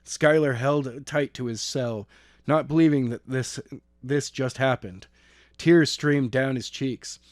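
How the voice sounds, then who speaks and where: A man's voice: medium pitch, takes it slowly, dry recording, studio quality. A man reading an action story as an amateur narrator, stumbling and repeating phrases as he goes. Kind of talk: scripted narration of an action novel.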